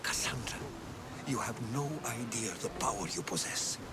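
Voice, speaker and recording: An actor playing an earnest, worried ally from ancient Greece in a dramatic video game cutscene. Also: male voice, mid-range voice, expressive, normal speed, very noisy, lightly acted. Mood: grave.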